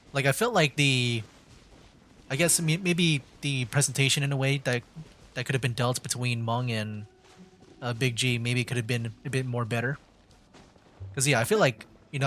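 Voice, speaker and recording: A man's voice: medium pitch, steady tone, moderate pace, long pauses, a bit bright. A man giving casual livestream game commentary, mildly meandering as he thinks aloud. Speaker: streamer, VTuber.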